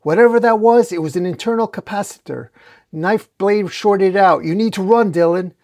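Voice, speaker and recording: An alarmed comic book protector acted by a man reading aloud. He pitches his voice up and barks urgent, selfless warnings. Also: normal speed, gravelly, mellow. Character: adult male protector, alarmed, self-sacrificing.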